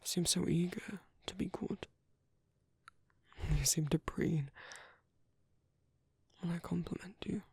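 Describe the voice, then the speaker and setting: A man with a British accent playing a gentle, protective vampire priest in an ASMR roleplay, speaking softly and hesitantly with courteous, formal phrasing. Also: sits high, takes it slowly, long pauses, no room sound, heavily acted. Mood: tender, earnest. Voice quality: soft, breathy, husky, often near-whispered. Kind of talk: ASMR roleplay, intimate soft-spoken monologue.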